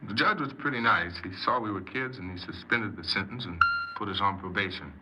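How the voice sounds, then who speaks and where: An actor playing a troubled, defensive criminal confessing in a 1950s radio crime drama, speaking earnestly and haltingly as a proud hypnoanalyst. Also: male voice, on the low side, close to an everyday voice. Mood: regretful. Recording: very noisy, muffled 1950s radio recording.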